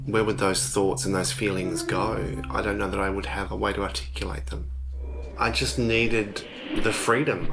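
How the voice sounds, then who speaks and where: A low-pitched man speaks reflectively, as in a documentary interview. His phrasing is thoughtful and a little hesitant. Music plays clearly behind his voice. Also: rough recording. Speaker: textile maker.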